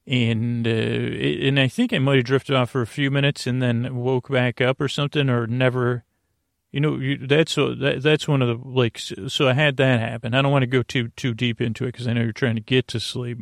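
A man hosting a sleep podcast with a rambling bedtime monologue, meandering and halting, repeating himself and trailing off. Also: medium pitch, level tone, gravelly, no echo, clean studio sound.

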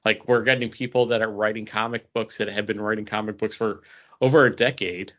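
A man's voice: fairly low pitch, steady tone, fairly quick, fairly bright tone, lo-fi sound. A man co-hosting a podcast, musing aloud in casual, conversational group discussion. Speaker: podcast co-host.

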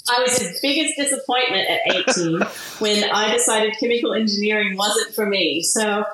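A woman from Kansas in her forties, answering interview questions in an animated way and laughing often. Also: mid-range voice, normal speed. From Kansas, USA. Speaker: guest, product founder. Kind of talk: interview conversation. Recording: very echoey room, faint noise.

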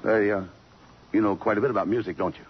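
An actor playing a blunt, tough police lieutenant in a 1950s radio drama, questioning people in terse, dry slang. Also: male voice, on the low side, steady tone, fast, lightly acted. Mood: dry, wry. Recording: muffled, hissy 1950s radio recording.

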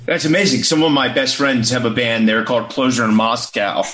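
A man's voice: medium pitch, fairly quick, fairly bright tone, a little hiss or hum. A man hosts a casual interview in a mid-range voice. He talks in an eager, slangy way, sprinkling dude and man through his questions. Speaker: podcast host.

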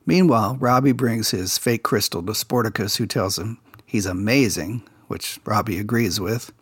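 A man's voice: lowish voice, normal speed, husky, dry recording, clean studio sound. A man hosting a podcast, casually recapping a children's TV episode and sharing behind-the-scenes production stories. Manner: relaxed insider storytelling, recounting production details.

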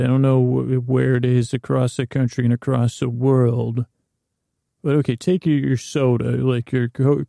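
A man's voice: mid-range voice, gravelly, mellow, no echo, clean studio sound. A man hosting a bedtime podcast, talking in a meandering, hesitant monologue that often trails off and circles back. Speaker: host of a bedtime sleep podcast.

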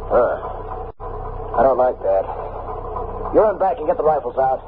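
A man acts a gruff, wary prospector father in an old radio play, his pitch swinging widely as he gives orders. The vintage recording is tinny, with faint noise. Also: sing-song, moderate pace, lightly acted. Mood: suspicious, commanding. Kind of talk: old-time radio drama acting.

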